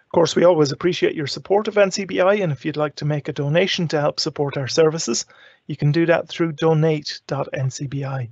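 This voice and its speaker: A man's voice: on the high side, steady tone, fairly quick, a little mellow, slightly rough, muffled recording. A man hosting a live tech show in a casual, chatty and loosely rambling way.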